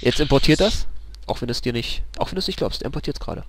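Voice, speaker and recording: Chatty German gamer swearing at deaths. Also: male voice, mid-range voice, animated, fast talker, slight background noise. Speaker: let's play commentator. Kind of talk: casual gaming chat.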